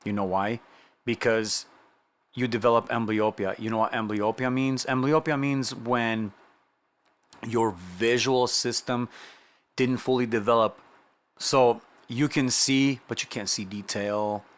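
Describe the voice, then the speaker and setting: A man's voice: medium pitch, fairly even intonation, a little slow. A middle-aged man from Romania telling a personal story in a casual, conversational way, often checking in with the listener. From Romania, moved to the United States at nine. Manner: conversational, checks in with listener with "you know" and rhetorical questions. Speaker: vlogger sharing personal testimony.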